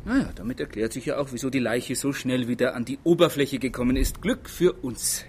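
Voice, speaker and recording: An actor playing a condescending, officious head of a murder investigation who talks with sarcasm. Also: male voice, medium pitch, brisk, a little mellow, a little hiss or hum, close to an everyday voice. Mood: businesslike.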